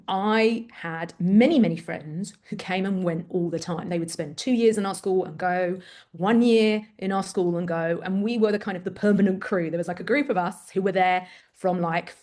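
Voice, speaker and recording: A woman's voice: mid-range voice, moderate pace. A middle-aged woman with an English accent, from Greece, speaking candidly and with animation as a parent coach guest in an interview. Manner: candid, animated, self-deprecating storytelling. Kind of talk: conversational interview.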